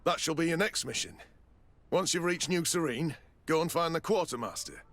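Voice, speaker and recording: An actor playing a smooth-talking, evasive official in a video game, formal and polite but demanding. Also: male voice, a little high, animated, moderate pace, fairly bright tone. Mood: formal, satisfied. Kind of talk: video game cutscene acting.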